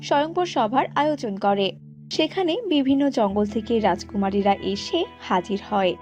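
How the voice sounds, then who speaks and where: A woman's voice: mid-range voice, normal speed, a little mellow, soft background music. A woman narrating a scripted cartoon folk tale.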